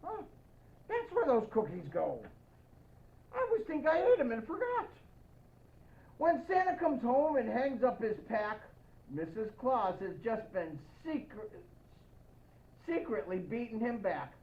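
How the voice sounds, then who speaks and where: High, slow, expressive woman reading a storybook. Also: muffled recording. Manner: playful, expressive, addressing young listeners. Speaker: storybook reader in the persona of Santa. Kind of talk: children's picture-book read-aloud with playful asides.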